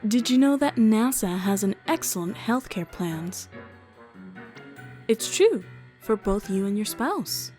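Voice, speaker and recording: A woman's voice: medium pitch, sing-song, slow pace, a little mellow, faint music behind. A woman playing a sardonic, impatient professional doctor with a dry, sarcastic delivery in a game fan dub. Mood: sly pitching.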